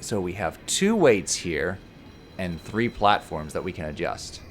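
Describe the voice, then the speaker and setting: Slightly hoarse man, very low voice, chatty let's-play commentator walking through a puzzle, faint hiss. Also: big pitch swings, a little slow. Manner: explains puzzles step by step, joking. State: a little hoarse. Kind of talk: casual gameplay commentary.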